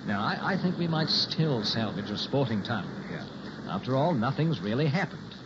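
A man's voice: mid-range voice, very animated, moderate pace, close to an everyday voice. A man playing an uneasy, indignant and wry young weekend guest in a 1960s radio drama. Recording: muffled, noisy old radio recording.

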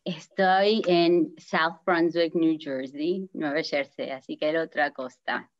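A woman speaks as a guest, sharing her story from home over a video call. Her voice sits a little low, and the audio is dry and slightly dull. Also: steady tone, takes it slowly, no room sound. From Argentina. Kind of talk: personal testimony. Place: home living room.

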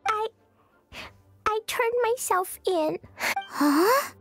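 A woman voicing an innocent, earnest little girl in an anime-style game, with a halting, naive manner. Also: extremely high pitch, lively intonation, a bit bright, no room sound, soft background music, heavily acted. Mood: guilty, tearful.

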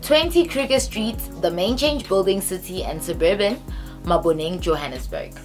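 A young South African woman talks in a casual, chatty storytime style. She moves in a halting way from phrase to phrase, as if talking to a friend, with music playing behind her. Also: mid-range voice, animated. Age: 20s. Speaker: storytime vlogger. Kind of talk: casual storytelling to camera, reading parts aloud from a phone. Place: small bedroom, indoors. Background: background music.

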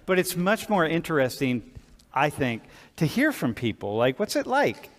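An older man, a sociology professor, leading a class discussion in a large lecture hall, animated and restless. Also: a little high, moderate pace. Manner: animated, restless, gestures while pacing. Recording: long hall reverb.

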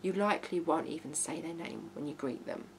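A woman's voice: sits low, fairly quick, low-quality recording. A woman in her thirties giving blunt, emphatic writing advice in an informal video from a living room. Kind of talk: informal video tutorial, talking to camera. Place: small living room indoors. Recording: hum and background noise.

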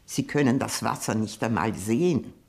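An actress playing a blunt, suspicious old lady in a radio drama, speaking in a dry, halting, imperious way. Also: extremely low pitch, vocal fry, darkish tone. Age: older.

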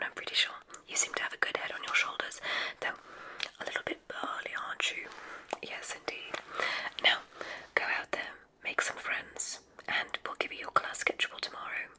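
A woman's voice: close to an everyday voice, rough recording. Whispering witch dean played by a woman. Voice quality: breathy whisper. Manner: genteel, unhurried, welcoming. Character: gracious, whimsical witch dean of a magic school. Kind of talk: ASMR medical roleplay.

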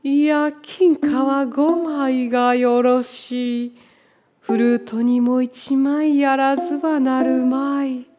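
A woman's voice: high voice, little pitch movement, takes it slowly, warm tone. A woman voicing a regal old king in a storybook, with stately, old-fashioned speech. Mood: grudging, firm. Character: old canary king, male, regal, fond of treasure.

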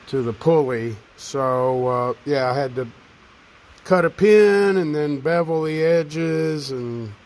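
A man talks through his work in a home garage at an unhurried pace, pausing often with fillers as he goes. His voice sits in a middle pitch. He stays off-screen, speaking to a handheld camera. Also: a little hiss or hum. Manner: slow, halting, with frequent fillers. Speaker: home mechanic vlogger. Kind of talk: casual narration.

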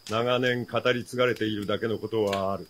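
A powerful old-world nobleman, played by a man in a radio drama, with a low voice. He speaks in formal, archaic phrases, smug and certain that he knows everything. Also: fairly even intonation, fairly quick, a bit bright, slight background noise, close to an everyday voice. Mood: smooth welcome. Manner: formal archaic lordly speech. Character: powerful nobleman villain, smug, all-knowing, courtly.

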